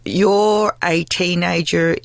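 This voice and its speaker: A woman's voice: lowish voice, steady tone, takes it slowly, gravelly, no room sound. An Australian woman in her sixties, an interview guest telling her family story in an earnest, reflective way. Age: 60s. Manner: earnest, reflective, talks at length. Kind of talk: interview conversation.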